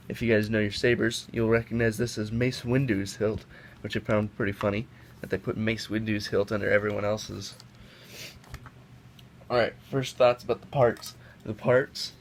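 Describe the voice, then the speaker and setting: A man's voice: lowish voice, vocal fry. A young man who is sick and sniffling, chatting casually and rambling as he handles toys at a table. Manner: chatty, rambling with self-corrections. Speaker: toy reviewer. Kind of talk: casual hands-on product comparison. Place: indoors at a table. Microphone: camera mic, off-screen above the table. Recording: noisy home recording.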